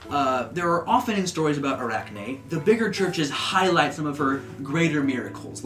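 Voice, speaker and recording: Man, game master, relaxed rambling narration, roomy recording, background music. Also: fairly high pitch. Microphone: desk USB microphone.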